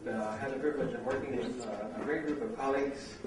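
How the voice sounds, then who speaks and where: A middle-aged man opening a university seminar as host, speaking haltingly with many ums and uhs. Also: medium pitch, crowd noise. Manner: hesitant, full of fillers. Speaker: seminar host, vice dean. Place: lecture room. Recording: echoey room, distant mic, noisy.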